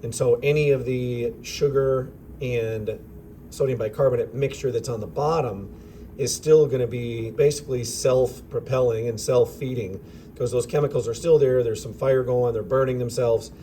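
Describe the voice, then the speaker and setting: A man with a slightly raspy voice explains a lab demonstration in an easygoing, thinking-aloud teacher's manner. His pitch sits in a middling range for a man. Faint fan noise hums behind him in a school lab. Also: fairly even intonation, normal speed, dark tone. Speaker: chemistry teacher. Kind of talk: informal lab demonstration narration. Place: school chemistry lab with vents. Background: ventilation fans running.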